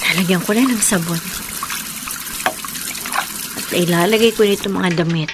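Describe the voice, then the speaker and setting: A woman's voice: lowish voice, moderate pace, background noise, close to an everyday voice. A woman playing a dutiful, anxious young married daughter in a horror radio drama, speaking expressively. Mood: busy, matter-of-fact.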